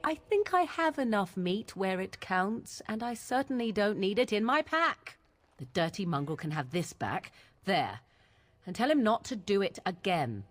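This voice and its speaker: A woman's voice: medium pitch, sing-song, moderate pace. An actress playing a haughty, scornful female mage in a fantasy video game. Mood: disgusted, scornful. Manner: haughty, disdainful.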